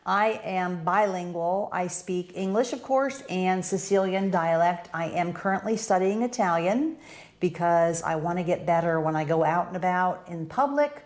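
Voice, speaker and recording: An older woman from the United States chats through viewer questions in an upbeat, talkative way. Her voice sits a little low for a woman. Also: normal speed, darkish tone. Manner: chatty, upbeat, leads the questions. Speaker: co-host. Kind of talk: casual Q&A chat.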